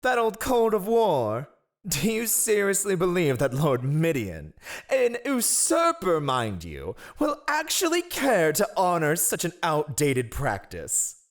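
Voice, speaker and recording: A man's voice: high voice, wildly swooping pitch, slow, heavily acted. A man acting a cunning, ruthless royal spymaster in a fantasy audio roleplay, with a sly, mocking, theatrically deferential manner.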